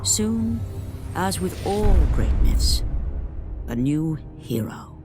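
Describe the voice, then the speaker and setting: An actor playing a grave storyteller of ancient myth, narrating a video game with solemn, portentous weight and pauses. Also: male voice, sits high, sing-song, full character voice. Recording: music behind the voice.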